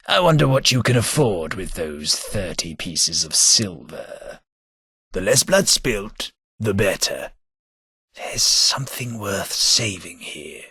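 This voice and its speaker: A foppish aristocrat drawls his sardonic remarks at an unhurried pace, his slightly low voice carrying a husky edge. He sounds snobbish and amused by everything around him. The recording is dry and close, with no room sound. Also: sharp tone, dry recording, full character voice. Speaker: game companion. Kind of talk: game voice acting.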